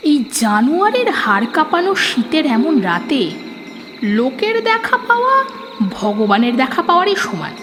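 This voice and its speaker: High-pitched woman narrating a horror story. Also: very animated, normal speed. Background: prominent background music.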